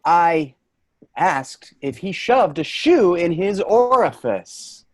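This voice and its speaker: Animated male voice, sarcastic, exasperated office worker played by an actor, staged play reading. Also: high-pitched, takes it slowly, heavily acted.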